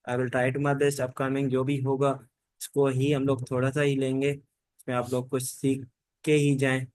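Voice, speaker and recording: A man's voice: mid-range voice, monotone, measured pace, a little mellow, no echo. A man teaching a class in an informal, rambling lecture style, often repeating stock phrases. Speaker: teacher giving an online law class. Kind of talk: informal lecture mixing Hindi-Urdu and English legal terms.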